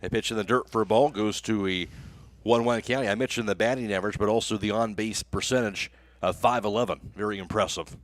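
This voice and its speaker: A man's voice: on the low side, a little fast, no room sound. An American man working as a radio announcer, speaking in a broadcast style into a close microphone. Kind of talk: live baseball play-by-play commentary.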